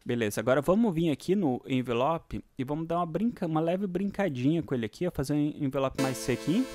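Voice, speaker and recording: A Brazilian man hosts a casual screencast lesson on music software. His voice sits a little high and his talk is informal and full of slang. Electronic synth music plays clearly behind him. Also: lively intonation, fast. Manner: informal, uses diminutives and slang. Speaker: music software tutorial host. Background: synthesizer and vocoder demo sounds, clicks.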